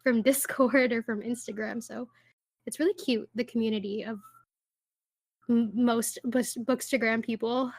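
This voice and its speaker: A young woman in her twenties chats loosely on a podcast, her voice sitting a little higher than most. She talks casually, full of "like" fillers, and laughs easily between thoughts. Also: fairly even intonation, unhurried, gravelly. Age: 20s. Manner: chatty, many "like" fillers, laughs often. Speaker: podcast co-host. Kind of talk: casual chat.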